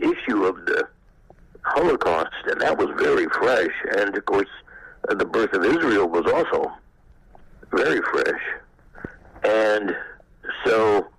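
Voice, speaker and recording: An elderly man in his eighties casually reminiscing in an interview, in a halting, anecdotal way. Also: on the low side, big pitch swings, vocal fry, fairly bright tone, slightly rough, low-quality recording. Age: 80s. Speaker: former actor being interviewed.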